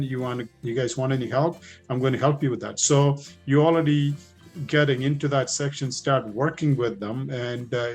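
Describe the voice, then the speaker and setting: A man's voice: medium pitch, darkish tone. A middle-aged man with a thick Indian accent, a police officer answering recruits' questions in a loose, conversational way full of fillers and restarts. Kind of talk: informal Q&A talk.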